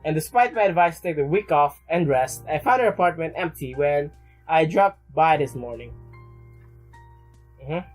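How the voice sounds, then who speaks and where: A woman's voice: low-pitched, lively intonation, normal speed, darkish tone, soft background music. A woman hosting a let's play, reading game text aloud and interjecting casually, often repeating words as she goes.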